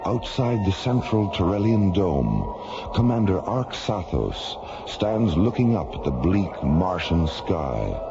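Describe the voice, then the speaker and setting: A man narrating an old-time science fiction radio drama in a measured, portentous, dramatic style. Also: deep voice, gravelly. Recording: dull old radio recording, loud music behind.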